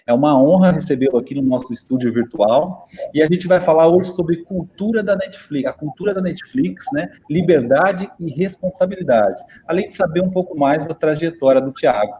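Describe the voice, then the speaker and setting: Guy hosting an online talk, mellow voice, stumbles and repeats words, faint hiss behind. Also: a little high, normal speed. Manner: hesitant, repeats words, many "né" and "é" fillers. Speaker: interview host. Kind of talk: hosting a virtual student talk. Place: virtual studio.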